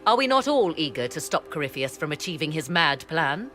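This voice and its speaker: A woman's voice: sits low, big pitch swings, a little fast, a bit bright, faint music behind. An actress playing a proud, sardonic woman mage in a fantasy game, speaking formal archaic English with a haughty air. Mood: challenging.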